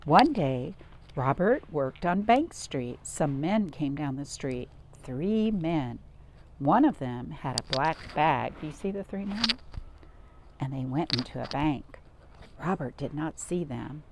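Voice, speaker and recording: A woman reads a children's picture book aloud in a slightly low voice. Her delivery is highly animated and expressive, aimed at young listeners with questions and gasps. There is some faint noise behind her. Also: slow pace. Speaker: storytime reader.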